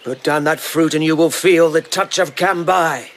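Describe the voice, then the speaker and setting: A man's voice: on the high side, moderate pace, a little hiss or hum, lightly acted. An actor playing a haughty, commanding lord and swordsman who is easily unnerved, in a radio drama. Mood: haughty, irritated.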